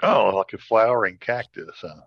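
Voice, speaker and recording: A man's voice: lowish voice, expressive, normal speed. A man co-hosting a podcast with casual, dryly joking chat. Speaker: podcast co-host. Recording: close, slightly dull sound.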